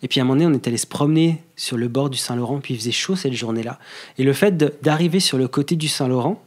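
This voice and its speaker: Podcast guest: man, mid-pitched, loose and hesitant, thinking aloud. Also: rapid-fire. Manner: hesitant, many fillers and restarts. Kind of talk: casual conversation.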